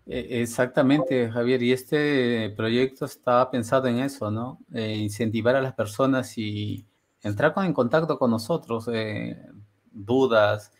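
A man's voice: medium pitch, unhurried. A middle-aged man hosting a casual video-call interview on a headset, talking reflectively and slipping into Portuguese-flavored Spanish. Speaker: podcast host. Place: home studio room.

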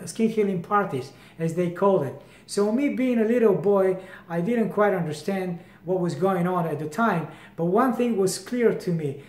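A man's voice: high-pitched, darkish tone. A Bulgarian-accented man in his fifties, a brand founder speaking straight to camera at home in an earnest way, often restarting his phrases. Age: 50s. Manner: earnest, repeats and restarts phrases, gestures broadly with both hands. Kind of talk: direct-to-camera promotional talk. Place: home hallway indoors. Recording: echoey room.

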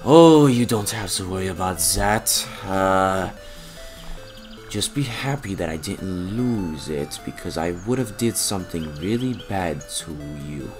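A man voicing an aggrieved, sarcastic cartoon man who speaks in a halting, emphatic way. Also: sits low, lively intonation, faint music behind. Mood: menacing calm. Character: aggrieved, sarcastic man confronting his tormentor.

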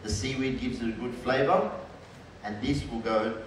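A man's voice: mid-range voice, normal speed. A middle-aged man, a chef, explaining a live cooking demonstration step by step to an audience. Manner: relaxed, explains step by step in short phrases. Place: large hall with a stage and audience. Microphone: headset mic. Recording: very echoey hall, heard from a distance, with audible room noise.

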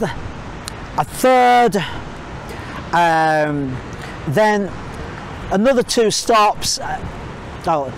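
A man's voice: sits high, slight background noise, rough recording. A middle-aged man teaching a photography technique outdoors by a waterfall, explaining step by step and thinking aloud with small fillers. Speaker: photography tutor. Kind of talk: outdoor instructional talk to camera. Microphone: clip-on mic, camera at mid distance.

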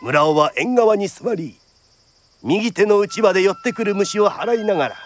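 Man, high-pitched, lively storyteller narration, period radio drama, theatrical delivery. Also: normal speed, faint music behind.

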